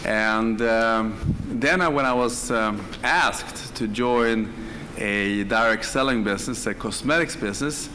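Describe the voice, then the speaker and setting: A man from northern Sweden with a Swedish accent, telling his story on a conference panel in a hesitant, pausing way. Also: fairly low pitch, sharp tone, long reverb tail. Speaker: conference panelist, direct selling executive. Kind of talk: unscripted personal storytelling on a panel. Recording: live conference room, clear background noise.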